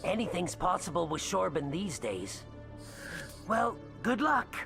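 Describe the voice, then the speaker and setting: Jittery, high-voiced alien scientist, swooping pitch. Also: male voice, very animated, a little slow, creaky, music in the background, full character voice. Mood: pleading, indignant. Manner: nervous, hesitant, complaining. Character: anxious, paranoid male alien scientist, shifty and pleading. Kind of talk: video game voice acting.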